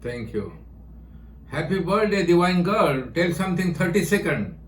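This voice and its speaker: A man leads a video call as a spiritual teacher, teasing his callers in broken English mixed with Spanish. His voice sits a touch high. The call sounds roomy, with a faint hiss behind him. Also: sing-song, moderate pace. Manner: playful, joking, broken English with Spanish phrases. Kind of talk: casual chat.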